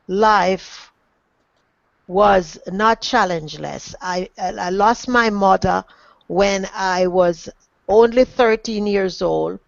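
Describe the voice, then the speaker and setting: Middle-aged female motivational speaker, Jamaican accent, earnest and deliberate, gravelly edge, very dry webinar sound. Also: mid-range voice, no echo. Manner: deliberate, emphatic phrasing. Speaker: guest, transformational coach. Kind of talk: motivational personal testimony, formal and earnest. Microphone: online webinar connection. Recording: dry, dull lo-fi webinar audio.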